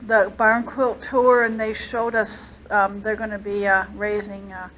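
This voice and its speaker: Slow-talking woman on a tour. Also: mid-range voice, level tone, a bit bright, slight background noise, poor audio. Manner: halting, many fillers. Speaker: tour visitor. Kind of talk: casual chat.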